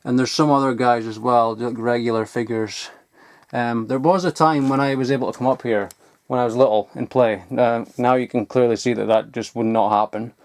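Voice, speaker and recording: A man's voice: medium pitch, steady tone, moderate pace, no room sound. A young man giving a casual, sweary, enthusiastic show-and-tell in an informal vlogging style. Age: young adult. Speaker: vlogger showing old toys. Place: loft at home, indoors.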